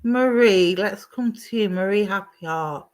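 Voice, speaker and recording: A woman giving a live-streamed psychic reading. She is gentle and reassuring, calls people darling and sweetheart, and rambles with ums and restarts. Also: mid-range voice, measured pace. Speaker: psychic medium. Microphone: streaming setup at home.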